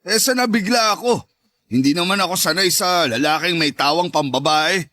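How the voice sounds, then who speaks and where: A man's voice: high voice, normal speed, pushed and bright, no room sound. A man playing a mocking, domineering macho ex-husband in a radio drama, brash and taunting. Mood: mocking, needling. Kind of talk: Tagalog radio drama acting.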